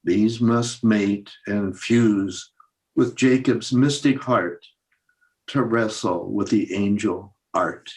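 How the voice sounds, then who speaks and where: An older man reading poems aloud, deliberate, with pauses between short phrases. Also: on the low side, darkish tone. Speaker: poetry reader. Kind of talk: poetry recitation.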